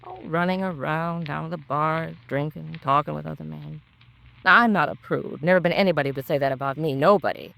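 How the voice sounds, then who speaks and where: A woman's voice: low voice, a little fast, creaky, fairly bright tone, rough recording. An actress playing a nosy, gossipy, disapproving landlady in a 1950s radio crime drama, with folksy, chatty colloquial speech.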